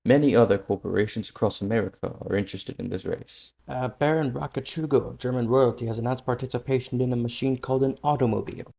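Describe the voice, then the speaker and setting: A formal, serious official in a comic dub, played by a man. His voice is gravelly and mellow, and he delivers his lines in a measured manner. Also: medium pitch, lightly acted. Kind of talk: comic-book dub voice acting.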